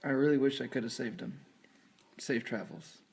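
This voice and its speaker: A medium-pitched, sober voice from a man acting an earnest eye surgeon in an amateur film. He is grave and measured with his patients. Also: creaky, natural voice. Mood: regretful. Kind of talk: amateur scripted film dubbing.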